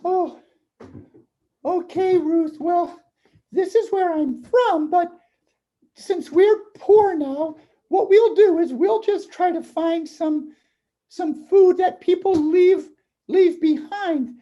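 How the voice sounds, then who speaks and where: A woman's voice: very high-pitched, slow pace, warm tone, lo-fi sound. A woman voicing a fretful, kindly older mother in a puppet show, hesitant and sighing as she speaks. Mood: weary, kindly. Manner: hesitant, sighing, repeating words. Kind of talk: children's puppet show dialogue.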